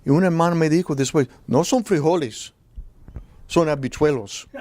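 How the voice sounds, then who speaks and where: A man speaking on a church conference panel about preaching, with many pauses and restarts. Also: on the high side, darkish tone. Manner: hesitant, frequent restarts. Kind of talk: panel discussion.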